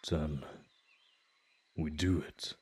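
A man playing a tender, desperate father in a fantasy audio drama, speaking in an earnest, halting, hushed husky voice. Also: a bass voice, steady tone, heavily acted. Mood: earnest, resolute.

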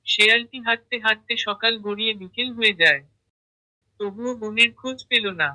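A children's story narrator with a very bright, sharp tone. The delivery is scripted storytelling at a medium pitch. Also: moderate pace, poor audio.

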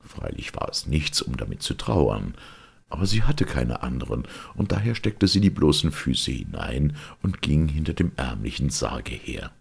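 A man with an extremely low voice reads a fairy tale aloud. His tone is rough and husky, crackling with fry, and he tells the story with dramatic emphasis. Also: very animated, normal speed. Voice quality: gravelly, husky. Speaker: audiobook narrator.

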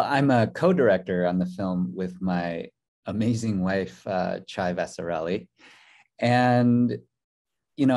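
Low mellow man on a video call. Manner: thoughtful, many fillers and restarts. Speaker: documentary co-director. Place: hotel room indoors. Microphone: video call webcam.

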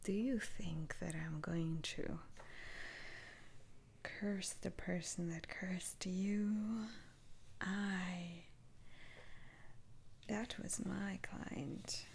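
A woman whispering in a breathy, halting, gently reassuring way, roleplaying a soothing witch doctor in an ASMR style. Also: lowish voice, steady tone, slight background noise. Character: soothing, unhurried female witch doctor lifting curses. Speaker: ASMR performer.

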